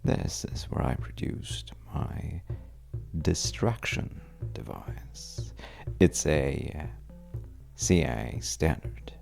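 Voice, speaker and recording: A man playing a charming, shifty stranger at a bar in an intimate audio roleplay, telling wry, teasing tales in a hesitant, gravelly way. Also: lively intonation, slow pace, a little mellow, faint music behind, full character voice. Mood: wry storytelling. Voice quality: very deep, gravelly, breathy at times. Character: well-dressed, untrustworthy charming male stranger at a bar, bragging playfully.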